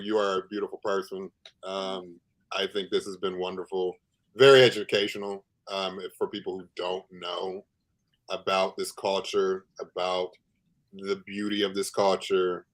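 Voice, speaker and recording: Low-voiced, appreciative man co-hosting. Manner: appreciative, complimentary. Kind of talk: casual chat.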